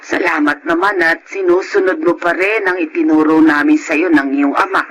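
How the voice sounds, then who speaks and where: A woman's voice: low voice, steady tone, moderate pace, fairly bright tone. An actress playing a caring woman of the family in a Filipino radio drama. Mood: gentle, sorrowful. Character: chatty wife or gentle elderly mother.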